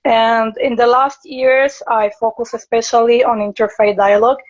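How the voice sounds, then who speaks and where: A woman's voice: fairly high pitch, flat delivery, normal speed. A woman in her thirties from Morocco, a guest speaker chatting on a live video stream. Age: 30s. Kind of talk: casual chat on a live stream. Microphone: video call. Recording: dull lo-fi live stream audio.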